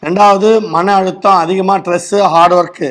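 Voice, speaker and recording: A man's voice: high voice, level tone, moderate pace, a bit bright. A man with a Tamil Nadu accent, a doctor advising a caller in a blunt, explanatory way during a phone-in consultation.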